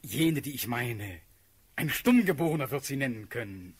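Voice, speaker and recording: An actor playing a dreamy, passionate young prince and cavalry officer in a radio play, declaiming classical verse in a halting, rapt way. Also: male voice, medium pitch, expressive, breathy edge. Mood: dreamy, searching.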